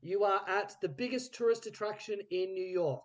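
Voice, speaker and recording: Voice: medium pitch, moderate pace. A podcast host casually interviewing guests and setting up improvisation games.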